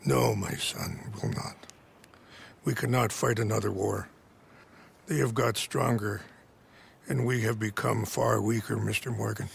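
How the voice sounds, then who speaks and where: Grave old chief, very gravelly. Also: male voice, fairly low pitch, steady tone, a little hiss or hum. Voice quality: gravelly, measured.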